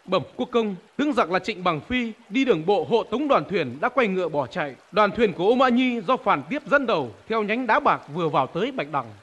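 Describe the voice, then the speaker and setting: An actor playing a loyal officer of a defending army in an animated historical film, emphatic and martial. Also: male voice, high voice, a little fast, hardly any pauses, breathy edge, muffled recording, exaggerated voice. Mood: urgent reporting.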